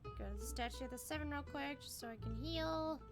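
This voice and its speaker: A woman's voice: high-pitched, brisk. A woman livestreamer chatting casually and in a friendly way with viewers while she plays. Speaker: game livestreamer. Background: video game music.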